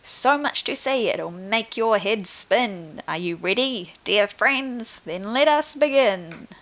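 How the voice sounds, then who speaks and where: A woman's voice: medium pitch, lively intonation, takes it slowly, a bit bright. A woman voicing a flamboyant, boastful male dinosaur shopkeeper in a storybook, with a theatrical, grandly welcoming manner. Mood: grand, excited. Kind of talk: storybook read aloud.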